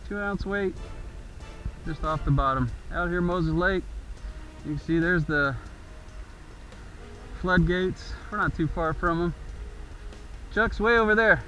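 A man's voice: a little high, pushed and bright, rough recording. A man, giving casual running commentary while fishing from a kayak, whooping when the fish bite. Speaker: kayak angler filming his trip. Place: on a kayak out on open water. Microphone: action camera on the kayak.

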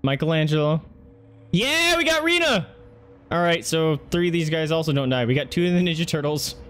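A man's voice: fairly high pitch, expressive, a little fast, a bit bright. A man doing casual live gameplay commentary, reacting and swearing as he talks to himself and his viewers. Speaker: game streamer. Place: indoors, streaming setup at a computer. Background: game music.

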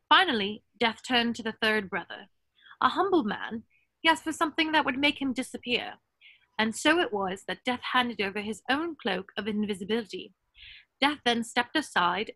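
An earnest, bookish young witch played by a woman in a drama table read. The voice is high and bright, with a dry, close sound as it tells its tale. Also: animated, normal speed, no echo, heavily acted. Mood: solemn storytelling. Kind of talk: scripted table read, storytelling. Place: indoors on a video call.

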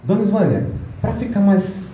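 A man's voice: sits high, very animated, moderate pace, dark tone, a little hiss or hum. A man presenting a music video to a small audience in an indoor meeting room, talking casually and playfully with restarts, recorded on a phone across the room. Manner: chatty, rambling, with restarts and playful asides. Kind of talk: casual public talk. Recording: very echoey room.

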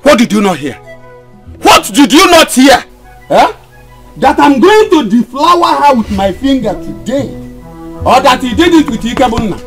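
A man's voice: very high-pitched, big pitch swings, moderate pace, over music. A man playing a domineering, abusive father. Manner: domineering, shouting.

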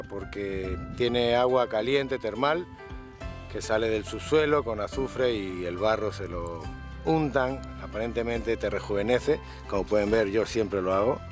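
A man's voice: lowish voice, a little slow. A man casually guiding viewers on an outdoor bike tour, speaking to camera. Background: music.

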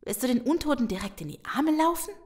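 An actress playing a careful, caring survivor in an audio drama, reasoning and reassuring. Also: female voice, sits high, lively intonation, fast talker, darkish tone. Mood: insistent. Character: careful, caring female survivor tending wounded. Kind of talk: German audio drama acting.

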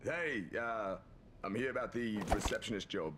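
An actor playing a dim, easygoing young receptionist in a game, casual, vague and offhand. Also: male voice, on the low side, normal speed, heavy background noise, close to an everyday voice. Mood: laid-back. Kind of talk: animated game cutscene acting.

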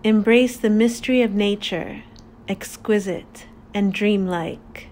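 A woman leads a guided meditation at an extremely slow pace, leaving long pauses between instructions. Her mid-pitched voice is calm, deliberate and soothing. There is faint ambient noise behind her.

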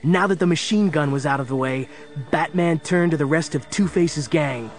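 A man acts as narrator of a superhero adventure. His voice sits a little high, and he tells the action dramatically over a clearly audible musical score. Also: moderate pace, soft background music, natural voice. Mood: dramatic.

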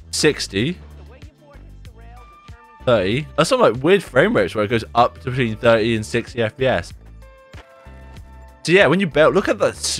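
A young man talks through a video game from his bedroom desk mic, loose and rambling, peppering his talk with "like" and "dude". His voice sits a little low and rough, left over from a recent sore throat. Faint game music plays underneath. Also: a bit bright. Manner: chatty, rambling, says "like" and "dude" a lot. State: deeper voice after a sore throat. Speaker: gaming YouTuber. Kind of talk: casual gameplay commentary. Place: bedroom at home, indoors.

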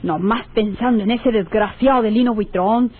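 Bitter mexican radio drama wife, high husky nagging voice, scornful. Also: female voice, moderate pace. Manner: scornful, complaining. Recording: tinny, band-limited old radio recording.

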